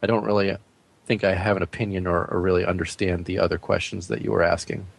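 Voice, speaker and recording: A man with a fairly low voice co-hosts a gaming podcast. He talks casually and bluntly, recorded dry and close. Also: level tone, quick pace, gravelly, dry recording. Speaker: podcast co-host.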